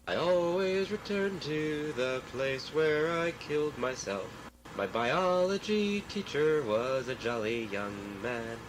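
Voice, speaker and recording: High, expressive man reciting poetry slowly. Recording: faint background noise, ambience.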